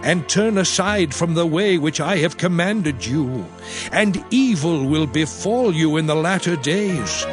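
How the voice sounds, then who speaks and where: A man playing an earnest, commanding prophet and leader, exhorting in a slow, declamatory way in a dramatized scripture reading. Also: fairly high pitch. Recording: music under the voice.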